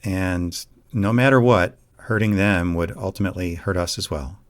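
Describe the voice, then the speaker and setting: A man plays a devoted boyfriend in an intimate audio drama. His voice sits a little low. He is gentle and earnest, with a casual, conversational delivery. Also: normal speed, close to an everyday voice. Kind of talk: amateur audio roleplay acting.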